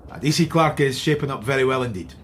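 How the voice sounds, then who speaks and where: An actor playing a sardonic Scottish police inspector in a radio drama, with a dry, needling manner. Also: male voice, mid-range voice, fast, fairly bright tone, a little hiss or hum, natural voice. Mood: brisk, sardonic.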